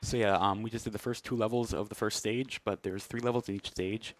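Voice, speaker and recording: A man's voice: on the low side, steady tone, a little fast, slight background noise. A man giving casual, hesitant and understated live commentary as he plays through a video game. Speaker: speedrunner playing and commentating. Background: loud game music.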